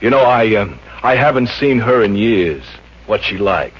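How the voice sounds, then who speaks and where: Bashful, hesitant young suitor. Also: male voice, fairly low pitch, animated, moderate pace, breathy edge. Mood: bashful, polite. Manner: polite, hesitant. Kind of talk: 1950s radio comedy acting. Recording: muffled, noisy vintage radio recording.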